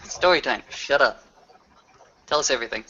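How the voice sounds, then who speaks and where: Forceful man acting a commanding werewolf, curt, bossy, tabletop roleplay, muffled lo-fi sound. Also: medium pitch, normal speed, slight background noise, lightly acted. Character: Alan, commanding male werewolf ordering others around.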